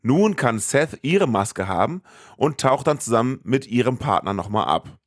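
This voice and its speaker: A man's voice: mid-range voice, expressive, normal speed, dry recording, studio quality. A man, a podcast host narrating a scripted, colloquial recap of a film.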